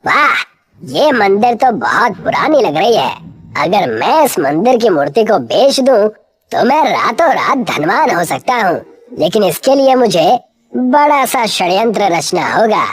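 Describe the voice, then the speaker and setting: A woman plays a scheming city crook in a children's cartoon. The voice sits at a middle pitch, greedy and bossy in its delivery. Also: very animated, unhurried, breathy edge. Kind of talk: cartoon moral story acting.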